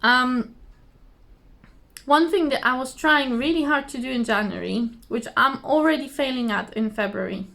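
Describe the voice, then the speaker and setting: A woman with a slightly hoarse voice, chatting casually to camera at home in a rambling, giggly, self-deprecating way. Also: high voice, normal speed, fairly bright tone. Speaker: cross-stitch vlogger. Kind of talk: casual chatty craft vlog. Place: indoors at home, trees outside behind her.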